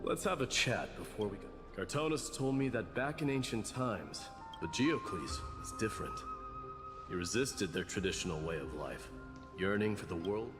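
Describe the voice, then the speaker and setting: An actor playing a calm, thoughtful man in a fantasy game, telling a tale in a deliberate, storytelling manner. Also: lowish voice, in a large hall, over music. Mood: reflective. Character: calm, thoughtful male fantasy warrior telling old tales. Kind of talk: dramatic video game voice acting.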